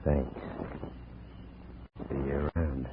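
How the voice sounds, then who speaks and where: An actor playing a menacing, terse kidnapper in a 1950s radio drama, guarded in manner. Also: male voice, extremely low pitch, level tone, extremely slow, full character voice. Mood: cold, guarded. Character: curt, menacing male kidnapper. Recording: tinny, noisy old radio recording.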